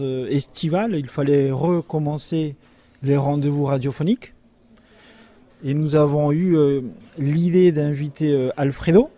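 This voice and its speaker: A man explains things conversationally as one of the organisers of a radio programme. His tone is soft and relaxed, and his pitch is a little above average for a man.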